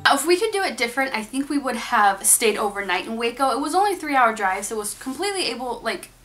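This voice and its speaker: A woman's voice: a little high, sing-song, fast, fairly bright tone, faint music behind. A young woman vlogging at home, chatting to the camera in front of her about a shopping haul. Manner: chatty, upbeat, talks to the camera. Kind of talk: casual shopping haul vlog. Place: bedroom at home, indoors. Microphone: camera in front of her face.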